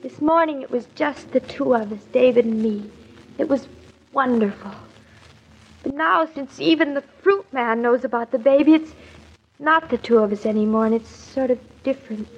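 High-voiced, girlish young wife. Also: moderate pace, slight background noise. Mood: anxious, wistful. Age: young adult. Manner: artless, wondering, questioning. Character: naive, talkative young expectant wife, anxious and girlish. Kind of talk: old-time radio drama acting. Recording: dull, lo-fi old radio recording.

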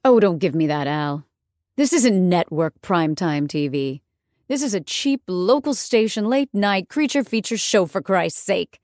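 A woman's voice: lowish voice, animated, unhurried, darkish tone, no room sound, studio quality. Woman acting an indignant, theatrical young actress. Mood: indignant, fed up. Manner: dramatic, indignant, emphatic. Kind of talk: audiobook dialogue acting.

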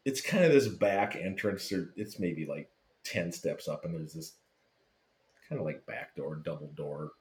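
A man casually telling a lively fan story, swearing freely and acting out what people said. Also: sits low, unhurried. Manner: animated, swearing, acts out quotes. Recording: roomy echo.

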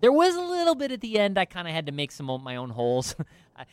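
A man's voice: high voice, huge pitch swings, fast, clear, resonant tone, no echo, studio quality. A man in finance telling a self-deprecating story in casual chat, with lots of restarts and asides. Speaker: finance professional.

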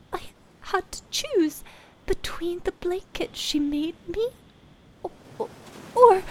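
A high, quavering, tearful little girl. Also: a little mellow, a little hiss or hum, rough recording. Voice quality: small, quavering voice. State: crying. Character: tearful, guilty little girl, sweet and trembling.

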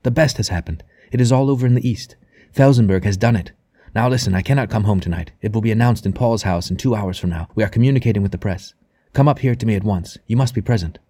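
Man acting brisk, commanding husband, urgent. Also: fairly low pitch, warm tone, no room sound. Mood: excited, urgent. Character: excited, commanding husband on the telephone, urgent politician.

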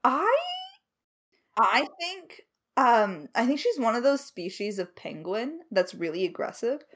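A woman's voice: on the high side, sing-song, unhurried, a bit bright. A woman co-hosting a creator Q&A, chatting casually with playful, mock-serious emphasis. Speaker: podcast creator and co-host.

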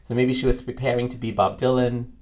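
A man in casual conversation about movies, animated and opinionated, often laughing mid-sentence. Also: mid-range voice, a little fast. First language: Arabic. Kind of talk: casual film discussion.

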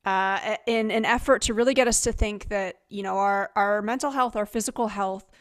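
A woman's voice: medium pitch, fairly even intonation, normal speed. A woman hosting a podcast, introducing her guest and reflecting on the topic with occasional restarts. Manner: warm-toned, reflective, with fillers and restarts. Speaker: podcast host. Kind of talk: podcast interview.

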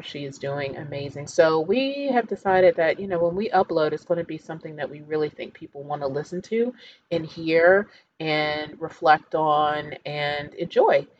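A woman's voice: low-pitched, highs cut off, slight background noise. A woman podcast host introducing a show in a conversational, appreciative way. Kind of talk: podcast introduction, chatty with some reading from notes.

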